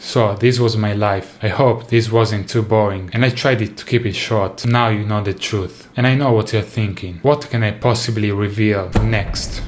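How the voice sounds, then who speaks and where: Deadpan monotone man. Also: on the low side, moderate pace, hardly any pauses. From Italy. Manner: deadpan, flat delivery. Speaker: YouTuber. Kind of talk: scripted narration of his life story. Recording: music playing behind the voice.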